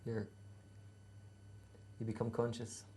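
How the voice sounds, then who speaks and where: A man's voice: medium pitch, monotone, slow pace, mellow, slight background noise. A Scottish man in his thirties, a musician answering interview questions in a laid-back, hesitant way with dry humour. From Scotland. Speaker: musician guest.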